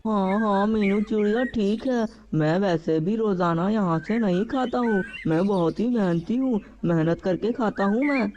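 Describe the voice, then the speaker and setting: A man's voice: high voice, moderate pace, few pauses, darkish tone, muffled recording, a little hiss or hum, close to an everyday voice. A man voicing a lazy, greedy, scheming male crow in a children's cartoon, exclaiming and repeating words as he talks to himself. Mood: sheepish excuses. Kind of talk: children's cartoon story dialogue.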